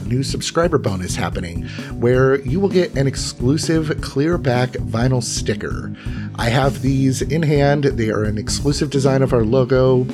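A man speaking casually as a podcast host and game master. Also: mid-range voice. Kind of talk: casual intermission announcement and game narration.

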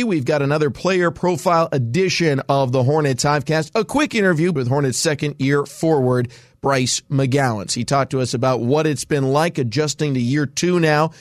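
A man's voice: fairly high pitch, moderate pace, no room sound, clean studio sound. A man hosting a sports podcast and asking interview questions in a polished broadcast style.

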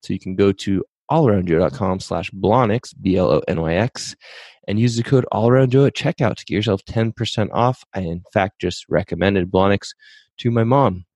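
Hoarse man, upbeat fitness podcast host, lowish voice, dry close sound. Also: moderate pace, no room sound. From Pacific Northwest, USA. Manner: upbeat, energetic, pep-talk delivery. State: hoarse, losing his voice. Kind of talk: casual solo podcast talk with sponsor reads.

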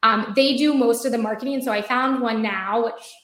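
A woman's voice: on the high side, moderate pace, fairly bright tone. An American woman talking as a guest in an interview, chatty, full of fillers and restarts. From United States. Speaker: marketing guest. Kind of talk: conversational interview. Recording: roomy echoey sound.

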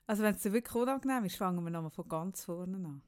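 A middle-aged woman, chatting casually as a podcast co-host in a teasing, animated storytelling manner. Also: mid-range voice, quick pace. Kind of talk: casual chat with a friend.

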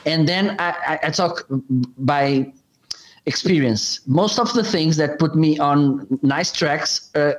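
A man's voice: a little high, takes it slowly, poor audio. A man, an English speaker, telling his story as a podcast guest, hesitant, with frequent fillers and repeats. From Portugal. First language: Portuguese. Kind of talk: interview storytelling.

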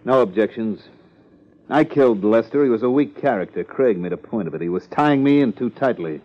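A man's voice: mid-range voice, lively intonation, a little fast, close to an everyday voice. A man playing a bitter, unrepentant murderer, well-spoken and defiant, in a 1950s crime radio drama. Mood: cool confession. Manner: precise, defiant. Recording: muffled 1950s radio recording with music.

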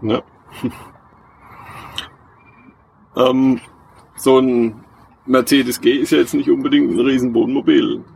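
A man's voice: medium pitch, flat delivery, slow pace, gravelly, long pauses, a little mellow, a little hiss or hum, rough recording. A man interviewing outdoors, putting short prompting questions to a traveler. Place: outdoors beside a vehicle museum.

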